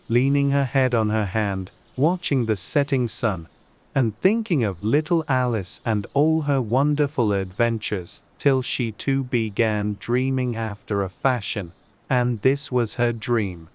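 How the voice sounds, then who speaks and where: A man's voice: medium pitch, unhurried. A man narrating a classic storybook as a scripted audiobook.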